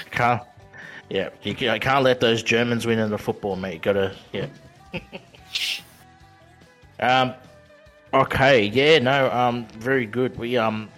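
A man's voice: medium pitch, fairly even intonation, slow, long pauses, fairly bright tone, soft background music. A man hosting a podcast chat, rambling with many stumbling restarts. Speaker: podcast host. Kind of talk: casual chat.

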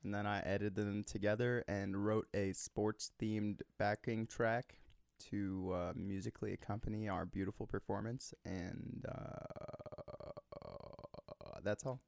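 A man who is a guest musician, talking casually with a deadpan, joking manner. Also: lowish voice, unhurried, vocal fry, no echo, clean studio sound. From Nebraska, US. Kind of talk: casual interview.

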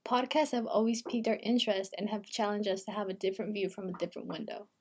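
A woman's voice: mid-range voice, steady tone, fast, a little mellow, breathy edge. An eighteen-year-old woman co-hosting a podcast, talking in a chatty, casual way with lots of "like" fillers. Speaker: podcast co-host, university freshman.

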